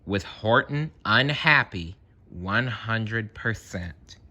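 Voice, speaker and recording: A man's voice: fairly low pitch, lively intonation, takes it slowly, sharp tone. A man reading a children's story aloud with dramatic emphasis, adding short asides of his own. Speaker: storybook reader. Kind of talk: expressive children's read-aloud narration with asides.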